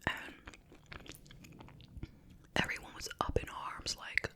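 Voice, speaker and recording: An ASMR host speaking in a breathy whisper, telling casual, gossipy stories with pauses in a chit chat style. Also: pushed and bright.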